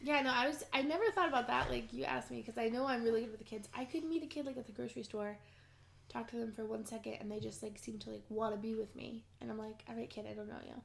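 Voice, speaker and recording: Hoarse young woman with a gravelly voice. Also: fairly high pitch, steady tone, fast talker, a little hiss or hum. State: hoarse after being sick, wheezy. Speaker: podcast co-host, teacher. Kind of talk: casual chat between sisters.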